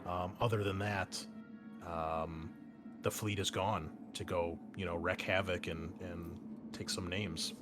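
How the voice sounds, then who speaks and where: Low-voiced man game mastering over music. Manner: conversational, explanatory, improvised. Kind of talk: narrating a tabletop roleplaying game scenario. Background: background music.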